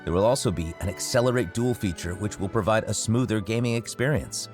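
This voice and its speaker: A man's voice: on the low side, a little fast, a little mellow, faint music behind. A man co-hosting a game update show in an upbeat, semi-scripted presenting style.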